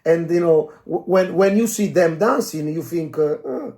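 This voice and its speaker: A man who speaks English as a second language, explaining as a dance coach in a casual, halting discussion, searching for words and often adding "you know". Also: on the high side, darkish tone. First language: not English. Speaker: dance coach and podcast co-host.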